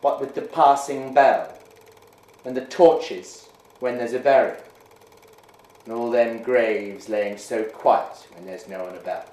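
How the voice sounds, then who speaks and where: Man putting on a blacksmith, rustic, gossipy, plain-spoken, low, echoey room. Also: takes it slowly, darkish tone, natural voice. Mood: gossiping. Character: gruff village blacksmith, man, blunt and gossipy. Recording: roomy echo.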